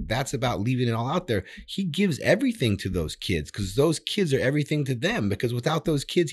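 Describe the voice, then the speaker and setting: A man's voice: mid-range voice, husky. A man hosting a podcast, talking in a casual, emphatic way with lots of swearing and repeated words. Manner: profane, emphatic, repeats himself. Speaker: podcast host. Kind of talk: casual interview chat.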